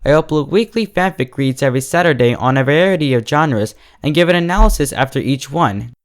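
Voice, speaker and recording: A man's voice: fairly high pitch, lively intonation, fairly quick. A man speaking as the host of an online fiction review channel, giving a scripted critique straight to his viewers.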